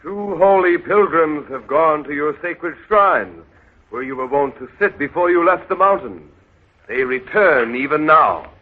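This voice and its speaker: A formal, skeptical city elder. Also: male voice, on the high side, animated, unhurried. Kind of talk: 1930s radio drama acting. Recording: tinny old radio broadcast.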